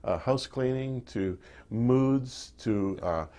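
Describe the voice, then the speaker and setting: A man hosting an interview, asking questions in a halting way with many fillers. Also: medium pitch, dark tone. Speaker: interview host. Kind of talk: interview conversation.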